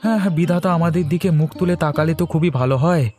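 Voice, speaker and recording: A man's voice: a little high, steady tone, very fast, a little mellow, natural voice. A man playing an earnest, humble working villager in a cartoon. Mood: humble, complaining. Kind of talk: Bengali cartoon dialogue. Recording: light music behind the voice.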